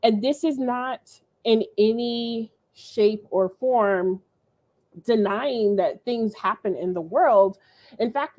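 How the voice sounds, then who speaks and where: A woman's voice: medium pitch, a little mellow. A woman professor and guest presenter giving an earnest, explanatory talk, correcting herself now and then. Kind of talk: conversational lecture.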